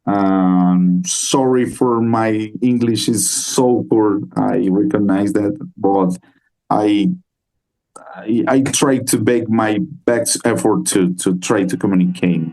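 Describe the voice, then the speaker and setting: Slow-spoken man. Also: lowish voice, fairly even intonation, darkish tone, poor audio. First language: Spanish. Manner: slow, searching for words, frequent restarts. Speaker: band member, interview guest. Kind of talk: interview answers in halting English.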